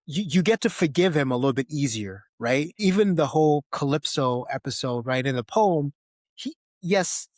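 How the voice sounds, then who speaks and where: A man's voice: a little high, expressive, moderate pace, darkish tone, dry recording, studio quality. A man hosting a podcast, discussing films casually and conversationally, often tagging his points with a questioning "right?". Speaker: podcast host.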